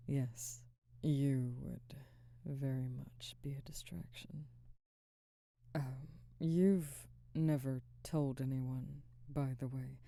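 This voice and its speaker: A young woman playing a guarded, burdened werewolf pack alpha in a soft, hesitant, intimate ASMR roleplay close to the microphone. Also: extremely low pitch, dry recording, exaggerated voice. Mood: apologetic, burdened. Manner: hesitant, soft-spoken, halting, breathy sighs. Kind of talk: ASMR audio roleplay, intimate scripted monologue. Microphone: close microphone, dry.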